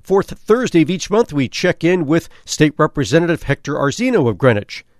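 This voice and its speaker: A man's voice: a little high, very animated, moderate pace, dry recording, clean studio sound. A man hosting a morning news radio program, announcing and then interviewing a guest.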